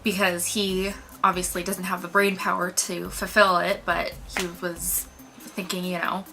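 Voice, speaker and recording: Female review co-host, very bright voice, casual and chatty delivery. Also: fairly low pitch, flat delivery, measured pace, creaky, faint music behind.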